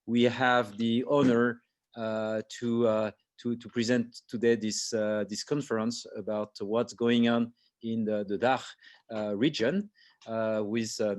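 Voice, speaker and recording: A man hosting an online interview, speaking haltingly with heavy fillers. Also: medium pitch. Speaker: host, co-founder.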